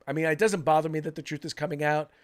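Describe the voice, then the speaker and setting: A man's voice: on the high side, steady tone, fast talker, clean tone. An American man co-hosting a political talk show, giving opinionated commentary in an emphatic way, repeating phrases for stress.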